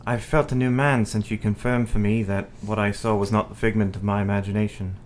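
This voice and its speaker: Guy acting young victorian husband, lowish voice, earnest and devoted, proper wording, radio drama, faint noise. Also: fairly even intonation, brisk, natural voice. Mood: grateful, earnest. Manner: sincere, formal period diction.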